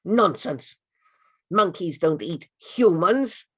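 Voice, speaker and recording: A storyteller voicing a male monkey king who is secretly a jaguar, speaking in a slow, menacing drawl. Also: mid-range voice, expressive, creaky, bright, forceful tone, no echo, clean studio sound, pitched below the performer's own voice, exaggerated voice. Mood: dismissive.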